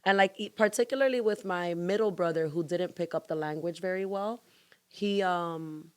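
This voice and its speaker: A woman co-hosting a casual podcast, direct and a little teasing as she probes her guest. Her voice sits lower than most women's. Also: moderate pace, clear, resonant tone. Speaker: podcast co-host.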